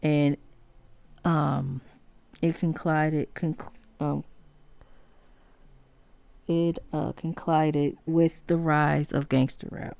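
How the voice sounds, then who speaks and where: A woman hosting a solo music history podcast, talking haltingly with many pauses, fillers and self-corrections. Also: deep voice, little pitch movement, vocal fry, darkish tone, dry recording. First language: not Spanish. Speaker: podcast host.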